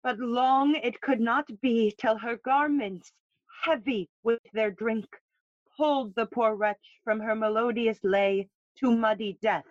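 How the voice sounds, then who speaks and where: Woman playing a slow, high-voiced mournful queen. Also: no room sound, highs cut off, lightly acted. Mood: mournful, lyrical. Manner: slow, poetic, elegiac. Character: mournful, lyrical queen bringing word of death. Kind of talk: bilingual Shakespearean audio play acting.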